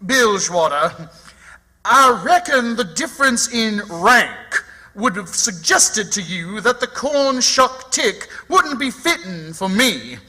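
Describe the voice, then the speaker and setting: A man's voice: high-pitched, very animated, slow, pushed and bright, full character voice. An actor playing a pompous, overbearing con man posing as royalty, declaiming in old-time radio theatre style. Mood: haughty. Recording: reverberant hall sound with faint music.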